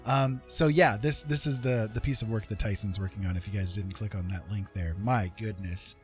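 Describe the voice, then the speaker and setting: A man's voice: fairly low pitch, moderate pace. Appreciative male livestream host over music. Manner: appreciative, effusive with thanks. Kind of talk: casual chat with livestream viewers. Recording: music playing behind the voice.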